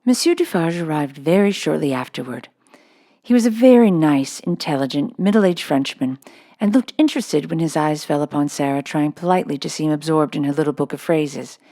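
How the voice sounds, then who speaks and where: A woman's voice: deep voice, a little fast, mellow. A woman reading a children's novel aloud as scripted storybook narration. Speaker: storybook reader.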